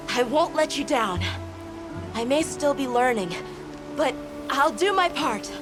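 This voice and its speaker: An actress voicing an earnest young apprentice in a game cutscene, careful and determined. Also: female voice, high-pitched, animated, slow pace. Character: earnest young apprentice, eager to prove himself. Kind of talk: video game cutscene acting. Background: game score music.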